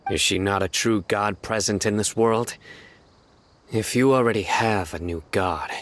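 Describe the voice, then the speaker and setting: A man's voice: fairly low pitch, unhurried, a bit bright. An actor voicing a stern male warrior in a video game, speaking in short, blunt sentences. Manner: terse, blunt. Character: stern terse male warrior, grim resolve.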